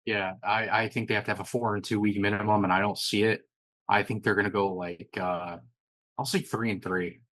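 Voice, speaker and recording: Lower-voiced guy talking sports, matter-of-fact, dry mic. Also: little pitch movement, brisk, a bit bright, no echo. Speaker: co-host of a sports podcast. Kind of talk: casual sports chat.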